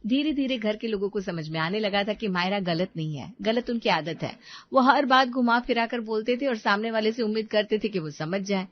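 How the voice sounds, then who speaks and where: A woman narrating a cartoon moral story, with an expressive, scolding tone when she speaks as the mother-in-law. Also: medium pitch, a little fast, dry recording, dull audio. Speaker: narrator and voice actor.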